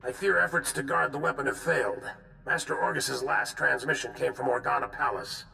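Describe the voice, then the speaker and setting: An actor playing a grave, hard-bitten alien general in a video game, with clipped military delivery and a gravelly voice over a hologram transmission. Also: male voice, a little high, steady tone, fairly quick, pushed and bright, echoey room, a little hiss or hum, heavily acted. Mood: resolute. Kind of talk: video game cutscene acting.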